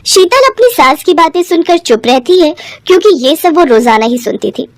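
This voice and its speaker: A woman's voice: high voice, big pitch swings, moderate pace, dry recording, studio quality. A woman narrating a scripted bedtime story as the storyteller.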